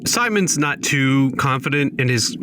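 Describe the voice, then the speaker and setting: A man's voice: mid-range voice, animated, measured pace. A man playing a tabletop role-playing game, chatting casually as he improvises. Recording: clear background noise, room ambience.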